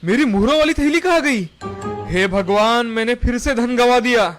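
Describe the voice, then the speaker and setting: A man's voice: very high voice, moderate pace, soft background music. A man playing a hard-working, secretive farmer husband in a moral-story cartoon, with theatrical, exclaiming delivery. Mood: alarmed. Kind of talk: exaggerated Hindi moral-story cartoon dialogue.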